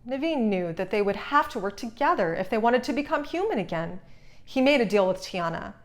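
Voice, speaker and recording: A woman reads a children's storybook aloud. Her pitch sits in the middle for a woman, and she delivers scripted narration. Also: sing-song, fairly quick. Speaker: storybook reader.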